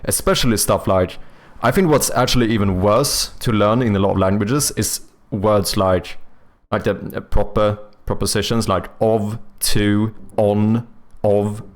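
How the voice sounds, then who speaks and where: A man's voice: fairly low pitch, a little slow. A man from Sweden with a Swedish accent, streaming casually and thinking aloud as he works, with easygoing, sometimes sweary chat. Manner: thinks aloud, muttering while working. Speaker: streamer and game developer.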